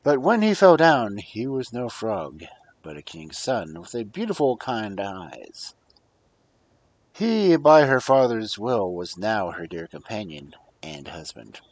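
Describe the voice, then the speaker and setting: A man reading fairy tales aloud as a storyteller, with a husky voice and a dramatic delivery that moves between hushed and emphatic. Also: on the low side, sing-song, slow, no echo. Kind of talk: expressive fairy-tale narration, read aloud.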